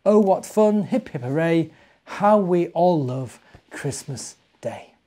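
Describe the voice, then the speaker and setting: A man reads as an excitable little British boy from a storybook. The delivery is eager and exclamatory. The pitch lifts above plain narration. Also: takes it slowly. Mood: excited, eager.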